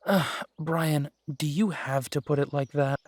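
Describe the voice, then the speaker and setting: A man playing a gentle, dry-humored werewolf private eye and younger brother in an immersive roleplay audio drama, speaking softly and wryly. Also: a little high, a little slow, no echo.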